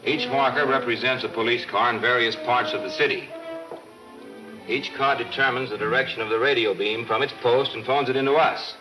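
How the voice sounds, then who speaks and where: An actor playing a steady, serious police detective in a 1940s film serial, talking in a matter-of-fact, explanatory way. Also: male voice, medium pitch, fairly quick, natural voice, rough recording. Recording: 1940s film soundtrack, music behind.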